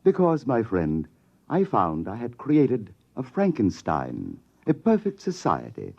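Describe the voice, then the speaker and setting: A man playing a cynical, world-weary mastermind in an old radio drama, philosophizing in a deliberate, condescending way. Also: mid-range voice, wildly swooping pitch, creaky, a little mellow, slightly rough, heavily acted. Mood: wry, philosophical. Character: cynical, world-weary male mastermind and secret society founder. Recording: dull, lo-fi vintage radio recording.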